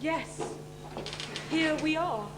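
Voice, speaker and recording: A woman in an amateur stage pantomime playing a suave, reassuring character with a measured, melodramatic manner. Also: high-pitched, noisy background. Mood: parting. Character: suave, reassuring farewell-bidder called Peter. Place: theatre stage before an audience. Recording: echoey stage recording, loud music.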